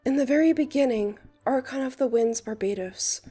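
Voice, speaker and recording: A woman's voice: high voice, moderate pace, mellow, soft background music. A woman playing a theatrical young male bard in a fan dub, telling tales with a lilting, old-fashioned delivery. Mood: storytelling. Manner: lilting, archaic rhyming delivery. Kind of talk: fan dub character acting and storytelling narration.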